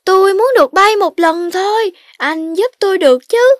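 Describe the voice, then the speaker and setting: A woman voicing a small, impatient, excitable penguin in a children's cartoon. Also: very high voice, moderate pace, no room sound, studio quality. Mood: pleading. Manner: pleading, impulsive. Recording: children's cartoon music underneath.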